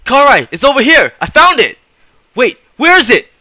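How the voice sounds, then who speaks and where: An agitated young runaway, acted by a man, pitched high with a very bright, sharp edge. He pushes his words out urgently and defiantly in a close, dry recording, like a fast dramatic audition. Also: huge pitch swings, no room sound, heavily acted. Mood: frantic, angry.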